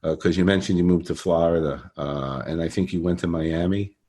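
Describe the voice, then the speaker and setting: An older man hosts a conversational interview, haltingly and often repeating his phrases. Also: low-pitched, mellow. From Brooklyn, New York. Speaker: podcast interviewer.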